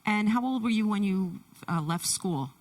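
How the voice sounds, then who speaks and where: A woman pastor introducing and interviewing a guest at a church service, speaking in short, deliberate phrases. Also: mid-range voice, lively intonation, a little mellow, distant mic. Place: church sanctuary.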